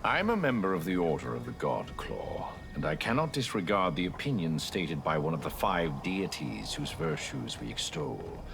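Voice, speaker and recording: Deep, gravelly lawful knight. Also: male voice, heavily acted. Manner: formal, measured, pronouncing. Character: stern, lawful male knight of a rigid order. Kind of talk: video game voice acting.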